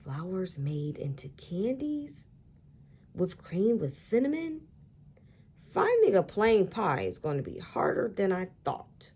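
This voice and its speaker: A woman's voice: on the low side, big pitch swings, slow pace, warm tone, husky, full character voice. A woman voicing a picky, hesitant young girl in a children's storybook, sounding wondering and uncertain. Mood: doubtful. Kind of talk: storybook character voice.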